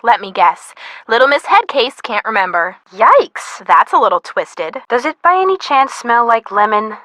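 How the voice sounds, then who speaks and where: A peppy young female sidekick in a mystery game. Her high, bright voice swings expressively through sassy, teasing banter. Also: big pitch swings, normal speed, dry recording. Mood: teasing, eager. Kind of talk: scripted mystery game voice acting.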